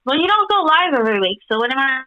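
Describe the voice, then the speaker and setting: A woman's voice: high voice, big pitch swings, very fast. A young woman calling in to a live show for a casual chat, heard through dull call audio.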